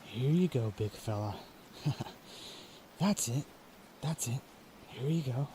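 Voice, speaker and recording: A man playing a gentle young knight who talks softly and soothingly in a fan-made audio drama. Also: lively intonation, takes it slowly, a little hiss or hum. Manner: soft, soothing, low-spoken.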